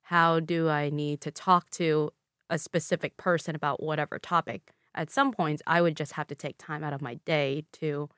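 A young woman in her twenties whose first language is Vietnamese talks in a low, even voice. She explains things in a measured, patient way, as an interview guest. The sound is dry and close. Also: clear, resonant tone, no echo. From Louisville, Kentucky, USA. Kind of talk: conversational interview.

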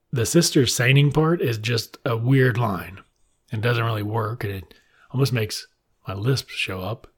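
A middle-aged American man from Mississippi with a husky voice, giving comic commentary in an irreverent, sardonic, swearing manner. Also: fairly low pitch. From Mississippi, United States.

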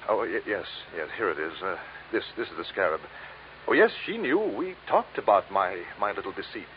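An actor playing an anxious, educated archaeologist in an old radio drama, polite and evasive with a hesitant stammer. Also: male voice, lowish voice, very animated, normal speed. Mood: nervous, hesitant. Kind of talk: 1940s-50s radio drama acting. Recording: dull, noisy old radio recording.

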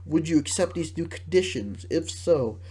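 A man's voice: fairly high pitch, expressive, normal speed, dark tone, a little hiss or hum, poor audio. Male podcast host, stuffy and nasal from a cold, jokey casual delivery. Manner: jokey, laughs often, reads questions aloud. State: congested, sick.

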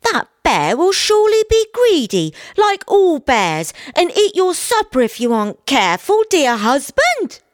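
A woman voicing a bossy, sharp-tongued woodman's wife with a shrill, scolding manner in a storybook tale. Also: wildly swooping pitch, unhurried, hardly any pauses, no echo, studio quality, heavily acted. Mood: warning. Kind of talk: storybook character voice.

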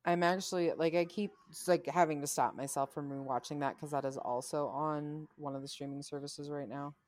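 A woman's voice: sits low, fairly even intonation, fairly quick, a little mellow, no room sound. A woman co-hosting a podcast in casual chat, blunt and free with her swearing. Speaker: podcast co-host.

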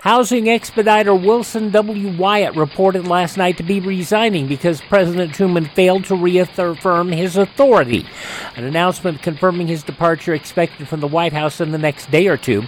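A man's voice: sits high, a little hiss or hum, poor audio. A man hosting a radio show, reading scripted introductions and news in an announcer's style.

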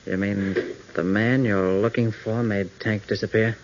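A man's voice: fairly low pitch, steady tone, unhurried, noisy background, lightly acted. An American man playing an earnest, take-charge young pilot hero in a 1940s radio adventure serial. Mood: questioning. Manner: earnest, decisive. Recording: muffled vintage 1940s radio recording.

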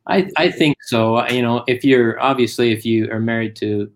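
A man from Germany raised in Utah, a guest in a casual interview, telling family stories plainly with frequent pauses. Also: mid-range voice. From born in Germany, raised in Utah.

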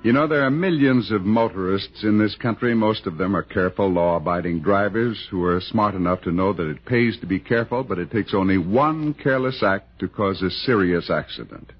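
Male American announcer for road safety spot. Low, rough-edged voice, emphatic scripted read, vintage dull radio sound. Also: brisk, hardly any pauses. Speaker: public service announcer. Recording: dull old radio broadcast recording.

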